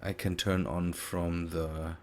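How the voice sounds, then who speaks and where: A man's voice: sits low, level tone, takes it slowly, darkish tone, a little hiss or hum. A man with a German accent and German as his native language, giving casual gameplay commentary in a hesitant, thinking-aloud way with self-corrections. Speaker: gaming video host.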